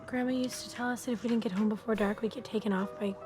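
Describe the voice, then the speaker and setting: A woman's voice: a little high, fairly even intonation, fast, a little mellow, soft background music, lightly acted. A woman playing a young character in a horror film, speaking in a natural, conversational way. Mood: uneasy. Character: young woman recalling a spooky childhood tale.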